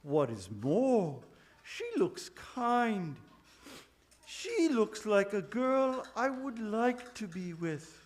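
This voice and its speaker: A woman voicing a smitten, kindly king in a puppet show, with drawn-out words and dramatic pauses. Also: medium pitch, big pitch swings, darkish tone.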